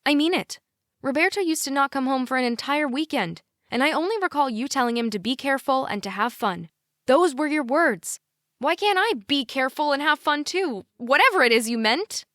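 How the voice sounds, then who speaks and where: A woman's voice: high-pitched, expressive, brisk, a bit bright, dry recording, studio quality, voice pushed up. A woman voicing an indignant, headstrong teenage daughter in an audiobook, emphatic and challenging. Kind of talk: audiobook character dialogue.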